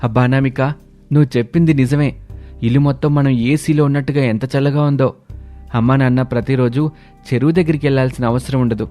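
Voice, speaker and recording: A man's voice: mid-range voice, steady tone, brisk, warm tone, soft background music, natural voice. A man voicing a curious young husband in a cartoon story, asking puzzled questions. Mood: delighted.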